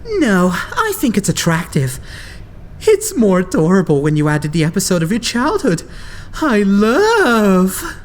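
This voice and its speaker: A woman playing a calm, courteous, gently teasing young idol in an anime game fan dub, speaking deliberately. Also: medium pitch, slight background noise. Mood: gently amused.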